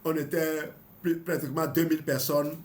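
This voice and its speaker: Host, man, 50s: somewhat high voice, reads from a book then comments loosely with restarts. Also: little pitch movement, moderate pace. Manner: hesitant, with restarts and small laughs. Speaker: health channel host. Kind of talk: reading a book aloud with commentary.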